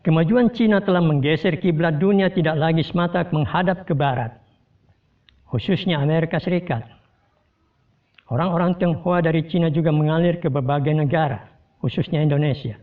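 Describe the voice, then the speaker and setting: A man delivering a formal, measured scripted commentary to his viewers. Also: fairly high pitch. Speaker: host giving a commentary. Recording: distant tinny phone-like sound.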